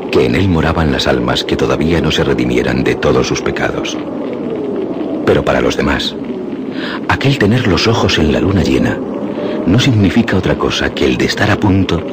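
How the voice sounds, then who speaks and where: A man's voice: extremely low pitch, heavy background noise. A man narrating a scripted dramatic story in the manner of radio theatre.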